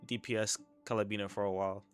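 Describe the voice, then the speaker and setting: A young man rambles casually while gaming. His voice is on the low side, and game music plays behind him. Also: little pitch movement, fast. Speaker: gamer chatting during gameplay. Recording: voice over loud game music and dialogue. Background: game music and character dialogue.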